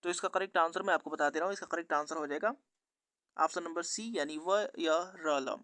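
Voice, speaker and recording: A man's voice: fairly high pitch, brisk, bright, forceful tone, no echo, clean studio sound. A man working through exam practice questions as a teacher, with an instructional, formulaic question-and-answer delivery. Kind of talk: scripted quiz walkthrough lesson.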